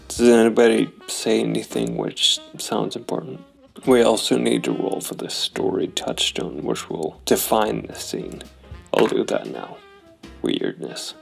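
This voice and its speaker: Creaky-voiced man narrating a game. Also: fairly low pitch. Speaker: solo role-player and narrator of a noir tabletop game. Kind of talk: thinking aloud, narrating game play.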